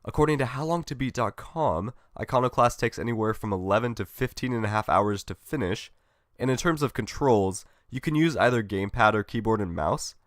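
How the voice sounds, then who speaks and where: A man's voice: medium pitch, animated, fairly quick, dry recording, studio quality. Upbeat man hosting a gaming podcast. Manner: upbeat, friendly, reads from a script. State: recovering from a cold. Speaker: podcast host. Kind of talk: solo gaming podcast monologue.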